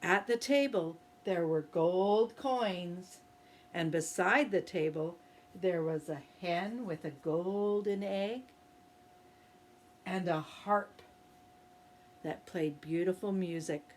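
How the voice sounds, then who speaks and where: A woman retelling a fairy tale to young children in a gentle, expressive, sing-song way. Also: on the low side, slow pace, a little hiss or hum. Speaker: early-years storyteller. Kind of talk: children's story reading.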